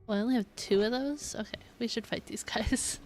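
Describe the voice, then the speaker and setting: A woman's voice: a little high, brisk, faint music behind. A woman giving casual gameplay commentary on a stream, musing to herself as she plays. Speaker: game streamer.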